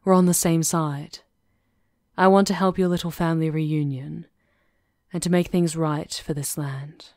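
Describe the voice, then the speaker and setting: Sly female witch conspirator for ASMR drama, acted by a woman: slightly low, deliberate, self-possessed. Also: fairly even intonation, mellow, dry recording, studio quality. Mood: sly, reassuring. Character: composed, sly female witch conspirator plotting against a countess. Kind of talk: ASMR roleplay acting.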